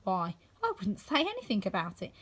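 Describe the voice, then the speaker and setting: A woman's voice: medium pitch, huge pitch swings, fairly quick, a bit bright, breathy edge, exaggerated voice. A woman playing a curious, chatty young storybook girl who wonders aloud to herself. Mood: musing. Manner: wondering, self-questioning.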